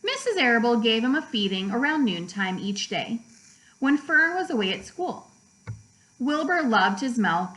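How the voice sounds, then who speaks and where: Female storybook narrator, slightly high voice, deliberate read-aloud delivery for children. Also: a bit bright, low-quality recording. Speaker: teacher reading a children's book aloud.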